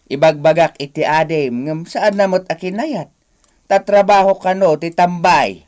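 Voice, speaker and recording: A man's voice: on the high side, unhurried, a little mellow, lightly acted. An actor playing a weary, complaining elderly father in a radio drama, grumbling as he talks.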